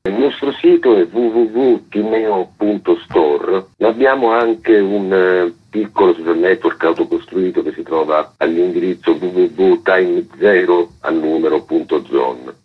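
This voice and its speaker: Deep husky man on a phone line. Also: measured pace, few pauses. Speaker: publisher, interview guest. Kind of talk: radio phone interview. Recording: tinny, muffled telephone line.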